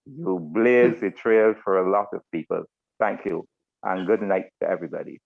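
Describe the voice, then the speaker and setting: Through a narrow phone-like connection, an older man with a Barbadian accent speaks in a fairly low voice. He offers thanks and small anecdotes in formal, deliberate phrasing, as a retired officer would on a group video call. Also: gravelly, a little hiss or hum. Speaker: retired military officer giving closing remarks. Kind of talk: informal thank-you speech on a group call. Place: online video meeting.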